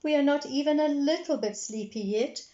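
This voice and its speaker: An eager little girl pig from a storybook, played by a woman reading aloud. The voice is lifted high and sounds cheeky and wide awake, with a pleading, excitable manner. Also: normal speed, muffled recording, close to an everyday voice. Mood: protesting.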